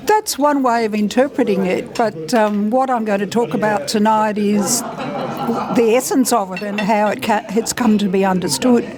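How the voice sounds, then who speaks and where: A woman, a doctor, giving measured, explanatory answers in a conversational interview. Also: mid-range voice, a crowd in the background. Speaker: doctor and guest speaker being interviewed.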